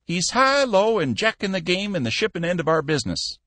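A man reading an audiobook, voicing a shrewd, meddling old shipping boss in a high, purring, amiably sly voice. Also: big pitch swings, fairly quick, bright, forceful tone, dry recording, heavily acted. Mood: sly amiable.

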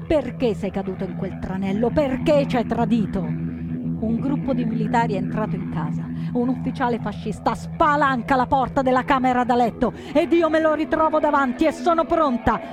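An actress plays a young woman partisan, wounded, anguished and defiant. She delivers a theatrical monologue in short, deliberate, emphatic phrases at a medium pitch, with loud music running under the voice. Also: natural voice. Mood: urgent, defiant. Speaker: stage actor. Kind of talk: dramatic first-person theatrical monologue over music.

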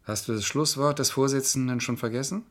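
Ein Schauspieler spielt in einem Krimihörspiel der 70er einen strengen, grüblerischen Anwalt, der präzise und streitbar argumentiert. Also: male voice, mid-range voice, a little fast, natural voice. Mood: impatient, lecturing. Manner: earnest, precise, argumentative. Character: stern, insistent male lawyer, brooding over a case. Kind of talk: 1970s German radio crime drama acting.

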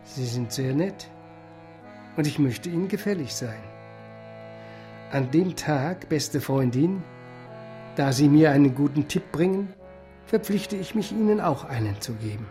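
A mighty, tight-fisted financier in a radio drama, in a fairly high voice. He is shrewd, brisk and drily courteous, with music playing underneath. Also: lively intonation, dark tone. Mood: sly, courteous. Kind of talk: German radio drama acting.

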